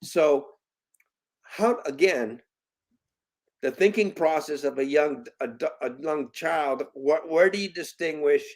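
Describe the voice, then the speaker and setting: A man in his late fifties, a guest in an interview, talking earnestly in halting bursts with many restarts. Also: fairly high pitch. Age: 50s. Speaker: guest, parent. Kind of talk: conversational interview.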